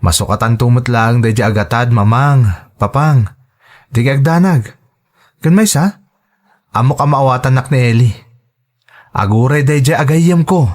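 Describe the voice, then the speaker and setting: A man's voice: medium pitch, normal speed, long pauses, darkish tone, close to an everyday voice. A man playing a hurt, indignant young son who feels betrayed, in melodramatic audio drama style. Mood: concerned. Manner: emotional, reproachful.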